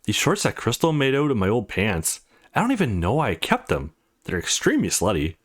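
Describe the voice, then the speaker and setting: A man's voice: lowish voice, wildly swooping pitch, fairly quick. A man voicing a cocky, teasing young woman gamer from a visual novel, with a brash, taunting manner. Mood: embarrassed. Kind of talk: visual novel dialogue read aloud.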